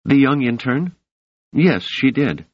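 An earnest, protective woman who blames herself and presses her point, as a male audiobook narrator puts her on. The voice is lifted and softened, swinging widely in pitch. The recording is dry and a little dull. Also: big pitch swings, slow, dry recording. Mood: insistent.

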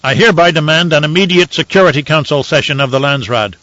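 A man's voice: a little high, fast, bright, forceful tone, no room sound, dull audio, pitched above the performer's own voice. A male narrator voicing a desperate ambassador who pleads and protests with urgent indignation.

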